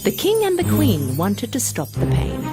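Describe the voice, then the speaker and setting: A woman narrating a children's fairy tale from a script in an expressive, deliberate, dramatic storytelling manner. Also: mid-range voice, vocal fry, loud music behind the voice.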